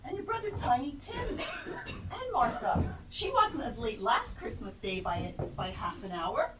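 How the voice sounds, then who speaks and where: A woman plays a doting mother in a stage drama, high-pitched and very lively in melody. She fusses affectionately, far from the mic in an echoey, noisy room. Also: a little slow. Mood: affectionate fussing. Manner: theatrical, affectionate. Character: warm, fussing mother of a poor family. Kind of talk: live stage play acting. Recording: echoey stage, distant mic, clear noise.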